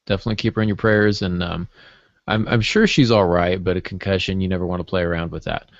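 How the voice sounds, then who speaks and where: A man's voice: sits low, steady tone, fast talker, creaky, no echo. A man chatting casually as a podcast co-host, with a wry, joking manner. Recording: dull, muffled lo-fi audio.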